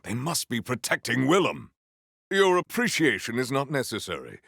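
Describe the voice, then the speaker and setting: An actor playing a solemn, honor-bound warrior-monk in a video game, speaking in a formal, stately, theatrical way. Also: male voice, medium pitch, wildly swooping pitch, normal speed, vocal fry, pushed and bright, dry recording, studio quality, full character voice. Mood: formal, steady. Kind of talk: scripted video game dialogue.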